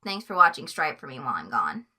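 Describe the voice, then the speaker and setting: A woman voicing a calm, deadpan teenage boy who talks in a flat, matter-of-fact way in a story reading. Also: low-pitched, fast, pitched below the performer's own voice, heavily acted.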